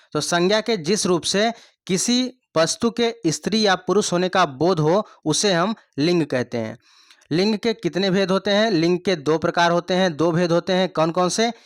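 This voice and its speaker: A man's voice: high voice, fairly bright tone, dry recording, studio quality. A man teaching an online Hindi grammar lesson for exam prep. He repeats each point and keeps throwing rhetorical questions at the students. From India.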